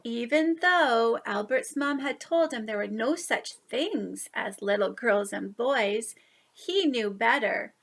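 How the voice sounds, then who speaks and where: High-pitched woman reading a children's story. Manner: animated, drawn-out emphasis, asides to listeners. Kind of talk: expressive picture-book read-aloud for young children.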